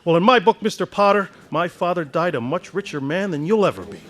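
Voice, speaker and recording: A man's voice: sits high, lively intonation, brisk, a bit bright. An actor playing a restless, proud young man in a staged radio drama, stammering when shy and heated when angry. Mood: indignant.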